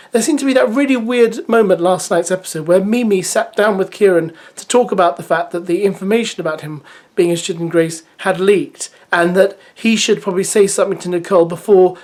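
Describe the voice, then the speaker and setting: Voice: mid-range voice, a little fast, a little mellow. A vlogger who reads a reality show episode preview and then gives chatty, opinionated, exasperated asides.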